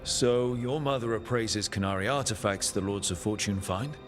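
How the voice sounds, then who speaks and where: Male actor as measured, inquisitive party leader; lowish voice, steady; game cutscene over music. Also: lightly acted. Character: curious adventurer leader, measured and probing. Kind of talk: video game cutscene dialogue.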